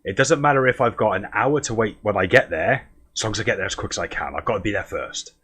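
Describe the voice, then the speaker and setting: A man with a husky voice, chatting casually and self-deprecatingly as he hosts a podcast interview. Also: on the low side, fast, fairly bright tone. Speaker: podcast host.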